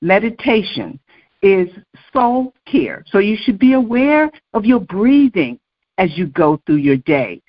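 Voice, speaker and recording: A woman's voice: mid-range voice, big pitch swings, unhurried, muffled recording. A woman hosting a podcast, affirming her guest and reading out points to her listeners. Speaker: podcast host. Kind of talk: casual podcast talk.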